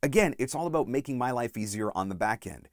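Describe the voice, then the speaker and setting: A man in his forties speaks as an instructor hosting his own channel. His pitch is medium and moves about in a lively way. He stresses key points deliberately, and the sound is dry with little room echo. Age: 40s. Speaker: voice-over coach and channel host. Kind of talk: instructional talk to camera.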